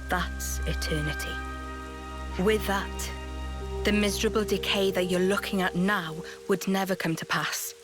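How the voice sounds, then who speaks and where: A woman acting a wise female leader in a video game, speaking at a middle pitch. She is grave and measured, explaining like someone who carries old history. Clear music plays behind her. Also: steady tone, lightly acted. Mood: grave, explanatory. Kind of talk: scripted video game cutscene dialogue.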